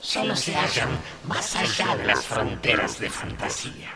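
A man playing a solemn, breathy oracle in a radio drama. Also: mid-range voice, big pitch swings, measured pace, over a noisy crowd. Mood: grave. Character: solemn, slow, mysterious oracle speaking as "we".

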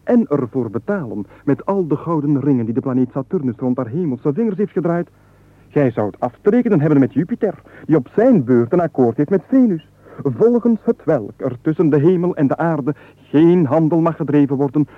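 An actor playing a penniless, wily squire and unstoppable babbler in a comic radio play, with flowery, wordy, mock-deferential speech. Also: male voice, fairly high pitch, expressive, a little fast, no echo. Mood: glib, rambling. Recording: muffled narrow-band 1980s radio recording.

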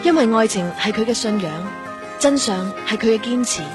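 A woman's voice: a little high, animated, normal speed. Female radio announcer over music. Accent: Hong Kong Cantonese. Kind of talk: scripted radio drama introduction.

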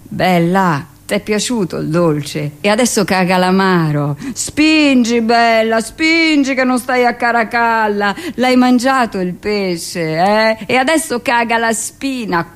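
Actress as a sneering, callous midwife. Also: female voice, a little high, slow. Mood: mocking. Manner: sneering, coarse. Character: mocking, callous delivery-room midwife taunting patients.

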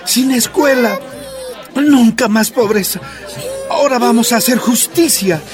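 A man's voice: very high-pitched, measured pace, over music. A man playing a determined, tender miner father in a radio drama, earnest and affectionate. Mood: triumphant, hopeful.

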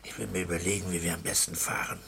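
Radio drama role: philistine husband, deep forceful voice, curt, dryly practical, slight hiss of an old recording. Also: male voice, level tone, quick pace, vocal fry. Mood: calculating, admonishing. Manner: matter-of-fact, curt, dry. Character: blunt, cagey petty-bourgeois husband, dryly practical philistine. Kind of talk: 1960s German radio play acting.

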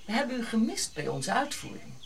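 A woman's voice: on the high side, big pitch swings, fairly quick, creaky. A middle-aged woman playing a pushy colonial society lady in a radio drama, gushing, grand and coquettish. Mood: flirtatious, gushing.

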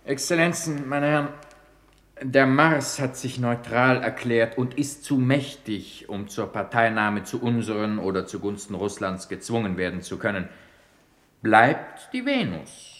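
A man playing a regretful, ceremonious government minister, speaking in formal, deliberate phrases in a 1950s radio drama. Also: mid-range voice, lively intonation, a bit bright.

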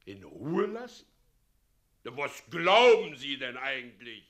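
An actor playing a scheming, pompous middle-aged president in a German radio play, swinging theatrically from commands to wheedling. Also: male voice, medium pitch, sing-song, takes it slowly, fairly bright tone. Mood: imperious, angry. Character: scheming, pompous tyrant president, doting mockingly on his son.

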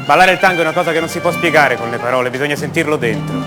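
A man's voice: on the high side, over music, close to an everyday voice. An actor playing a restless, incredulous ordinary man confiding his doubts in a conversational radio theatre monologue. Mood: passionate, musing. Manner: conversational, halting repetitions.

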